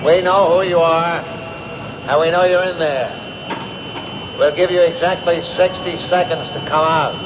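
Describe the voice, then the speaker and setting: An actor playing a stern police officer calling out deliberate warnings in a 1970s radio drama. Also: male voice, a little high, steady tone, close to an everyday voice. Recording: muffled old radio broadcast with hiss.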